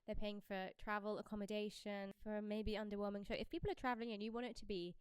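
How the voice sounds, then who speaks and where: A British woman chats quickly and expressively as a podcast co-host. Her voice is clear and smooth, recorded close and dry, in an easy conversational style. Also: mid-range voice, steady tone, no echo. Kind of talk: casual pop culture chat.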